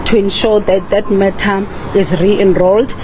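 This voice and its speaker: Woman, mid-pitched, formal official statement, police spokesperson, noisy outdoor urban background with vehicles. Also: flat delivery, moderate pace. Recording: noisy outdoor ambience with traffic.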